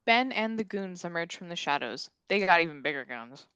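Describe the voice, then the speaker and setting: A woman's voice: fairly low pitch, expressive, brisk, a bit bright, smooth, dry recording. A woman reading scripted stage directions as narrator at a comedic table read.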